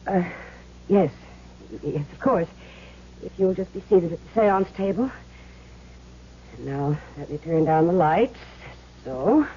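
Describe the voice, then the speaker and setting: An actress playing a fed-up, sharp-tongued woman who works as a fake medium in a vintage radio drama. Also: lowish voice, lively intonation, slow, long pauses. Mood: solemn, theatrical. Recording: muffled noisy old radio recording.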